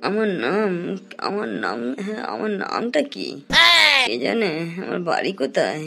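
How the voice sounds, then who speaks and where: Woman voicing a creaky, dazed young man. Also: mid-range voice, sing-song, soft background music. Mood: dazed, bewildered. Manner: bewildered, halting, questioning. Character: dazed, naive young man who lost his memory. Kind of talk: cartoon dialogue.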